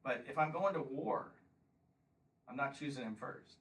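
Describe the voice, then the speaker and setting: A man's voice: medium pitch, measured pace, fairly bright tone, recorded from a distance, poor audio. A man in his fifties giving a combative campaign speech and taking questions at a local meeting, often pressing "Okay?". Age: 50s. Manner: combative, emphatic, repeats "Okay?". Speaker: congressional candidate.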